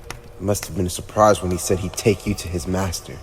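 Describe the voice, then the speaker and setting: A man's voice: sits low, steady tone, faint music behind. A man voicing a haughty, teasing teenage demon lord with a playfully cruel streak, in an ASMR roleplay addressed to the listener. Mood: haughty, curious. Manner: haughty, teasing, theatrical, with drawn-out pauses. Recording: long reverb, audible background noise.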